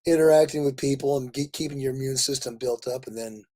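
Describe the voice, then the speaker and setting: A man with a raspy voice worn from a lot of talking, chatting casually and effusively with live viewers as host, in a jokey, rambling way. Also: mid-range voice, fast talker, dark tone. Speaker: livestream host.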